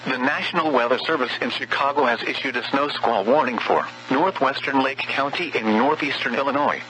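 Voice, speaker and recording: A synthetic man's voice reading scripted weather warning bulletins over radio in a measured, impersonal way. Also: medium pitch, heavy background noise. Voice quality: synthetic text-to-speech voice. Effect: weather radio broadcast. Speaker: automated weather radio announcer.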